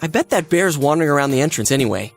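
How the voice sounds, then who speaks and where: An American man voicing an earnest, excitable teenage schoolboy in a video game, talking in a casual, expressive way. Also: fairly high pitch, very fast. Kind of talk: English video game voice acting. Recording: background music and crowd chatter behind.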